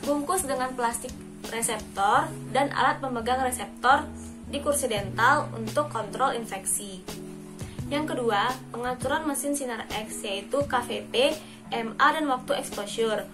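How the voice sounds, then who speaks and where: A young woman giving scripted, step-by-step procedural instruction. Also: fairly high pitch. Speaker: student demonstrating dental radiography procedure. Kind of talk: instructional narration and scripted patient explanation. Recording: loud music behind the voice. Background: loud background music.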